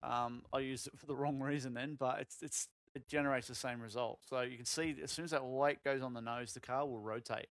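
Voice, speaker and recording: A man, voice going croaky, coaching a sim racing lap in casual talk with lots of fillers. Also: mid-range voice, fairly quick, few pauses, no room sound. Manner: relaxed, filler-heavy explaining with many "um"s. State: voice getting croaky.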